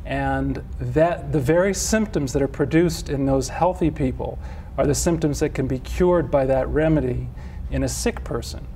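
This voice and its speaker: Man, middle-aged, from Switzerland, relaxed warm voice, measured explaining, faint hiss. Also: medium pitch, low-quality recording. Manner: measured, explanatory, with frequent restarts. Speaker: physician and homeopath guest. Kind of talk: interview answers.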